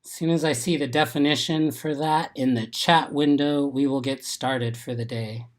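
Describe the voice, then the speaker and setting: A man's voice: mid-range voice, steady tone, moderate pace. A man recovering from an illness, sounding a little congested, hosting a live exam-prep webinar and reading questions and answers from the chat in an upbeat, encouraging way. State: congested, getting over an illness.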